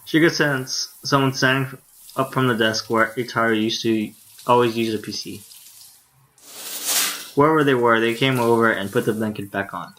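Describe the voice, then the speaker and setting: A man's voice: medium pitch, moderate pace, fairly bright tone, a little hiss or hum, rough recording. A tired male streamer reading a visual novel aloud in a laid-back way, with casual asides. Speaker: live streamer.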